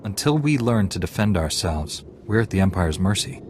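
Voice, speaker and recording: Very deep-voiced noble knight. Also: male voice, big pitch swings, a little hiss or hum. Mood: serious. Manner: measured, formal, composed. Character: calm, noble male Jedi knight, fair-minded hero. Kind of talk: scripted video game dialogue.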